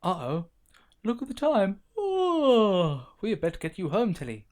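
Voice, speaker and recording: A woman voicing an expressive guide who speaks directly to a child in an animated story. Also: medium pitch, a little slow. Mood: friendly. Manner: expressive, addressing the listener directly. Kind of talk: scripted children's animation narration.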